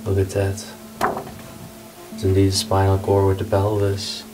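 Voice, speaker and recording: A man's voice: deep voice, completely flat delivery, unhurried, music in the background, poor audio. A young man casually narrating a walk through an abandoned house, curious and pointing things out as he goes. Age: young adult. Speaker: urban explorer.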